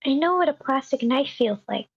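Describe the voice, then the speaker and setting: A woman's voice: high-pitched, animated, fairly quick. A woman playing a brash female nurse and driver in an amateur screenplay table read. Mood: assertive, indignant. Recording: muffled, lo-fi online meeting mic.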